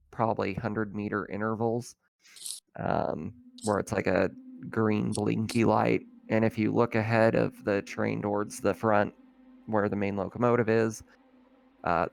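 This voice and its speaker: A man running a tabletop roleplaying game as game master, describing scenes in a halting, improvised way. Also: lowish voice, creaky.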